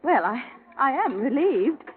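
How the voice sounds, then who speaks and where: A woman's voice: sits high, big pitch swings, unhurried. An actress playing a genteel, controlled middle-aged mother in old radio drama, speaking formally and archly. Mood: cool, arch. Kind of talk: 1940s-50s radio acting. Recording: muffled old radio broadcast.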